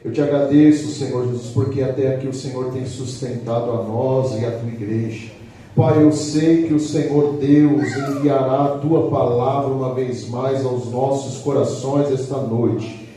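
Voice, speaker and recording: A man's voice: medium pitch, steady tone, measured pace, mellow. A man leading a fervent spoken prayer as pastor, with repeated invocations, in a church service. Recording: echoey church hall, from far off.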